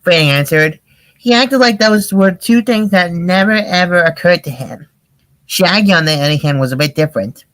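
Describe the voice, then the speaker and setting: A storybook reader narrating in an amateur read-aloud style, with halting casual asides. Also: mid-range voice, fairly bright tone, no echo. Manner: halting, repeats phrases when searching.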